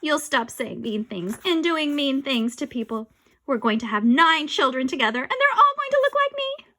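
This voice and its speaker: A woman's voice: high-pitched, very animated, normal speed, pushed and bright, pitched above the performer's own voice, heavily acted. A woman voicing a smug, bossy young girl ghost in a storybook reading. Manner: smug, teasing.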